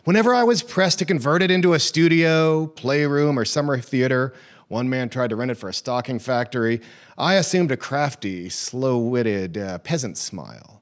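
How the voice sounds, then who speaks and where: A man's voice: mid-range voice, animated, moderate pace. Man giving a theatrical comic reading. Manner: theatrical, emphatic swells dropping away at phrase ends. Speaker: reader at a live literary program. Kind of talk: dramatic reading of a humorous memoir.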